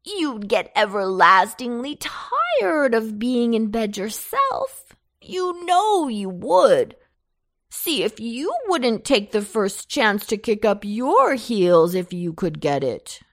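A woman's voice: mid-range voice, huge pitch swings, slow, gravelly, a bit bright, no room sound, studio quality, full character voice. A woman voicing a jolly male pumpkin leader in a children's storybook, persuasive, wheedling and emphatic. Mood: whining plea.

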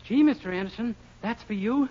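A man's voice: high voice, brisk. A man playing a naive, polite, eager young fellow in a 1940s radio comedy. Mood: eager, admiring. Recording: muffled 1940s radio with faint noise.

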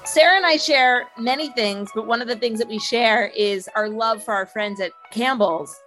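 A woman's voice: fairly high pitch, moderate pace, over music, low-quality recording. A woman co-hosting a business podcast, setting up a story with enthusiasm. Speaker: podcast co-host. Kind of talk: podcast hosting, scripted intro.

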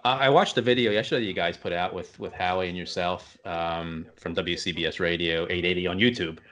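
A man with a deep voice hosts a casual interview. His questions ramble along in halting pieces, with restarts on the way to the point. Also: fairly even intonation, a bit bright. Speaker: interview host.

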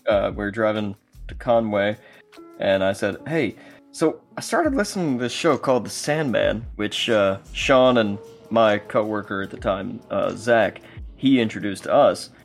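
Male guest, slightly low voice, casual anecdotal conversation, music in background. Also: animated, measured pace, creaky. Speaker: guest, voice actor and co-writer.

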